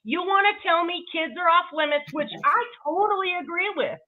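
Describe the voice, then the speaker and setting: A woman's voice: very high voice, lively intonation, moderate pace. A woman ranting casually on a livestream in a blunt, confrontational, swaggering manner, over a thin phone-like connection. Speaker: livestream guest. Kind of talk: casual combative rant. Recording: thin, phone-like band-limited sound.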